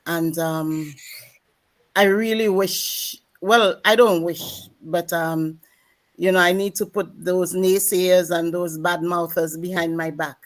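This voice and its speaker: A woman's voice: fairly low pitch, fairly even intonation, slow. A woman on a video call who speaks with determination, hesitating with ums. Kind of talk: casual testimonial on a group call.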